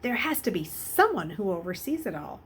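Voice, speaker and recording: A woman's voice: mid-range voice, big pitch swings, normal speed, close to an everyday voice. Woman reading as uneasy, inquisitive boy, noisy recording. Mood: insistent. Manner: hesitant, stumbling, questioning. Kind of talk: children's novel read aloud.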